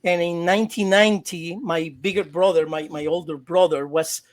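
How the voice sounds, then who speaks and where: A man in his forties with a Mexican Spanish accent, a native Spanish speaker, talking as a guest on a video call. His voice sits a touch high for a man. He stumbles, restarts and repeats words, and laughs easily between phrases. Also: steady tone, normal speed. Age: 40s. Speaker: interview guest. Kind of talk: casual interview.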